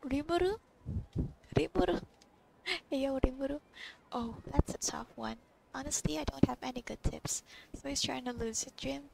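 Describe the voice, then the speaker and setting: High-pitched, creaky woman gamer. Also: normal speed, background noise. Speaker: gamer commentator. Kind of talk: casual gameplay commentary. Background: game music.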